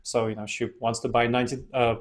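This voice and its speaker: Guest: easygoing man, non-native English, mid-pitched, chatty storyteller. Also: fast talker. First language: not English. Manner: relaxed, laughs often while telling stories. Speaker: interview guest, decision-making expert. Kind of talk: casual interview storytelling.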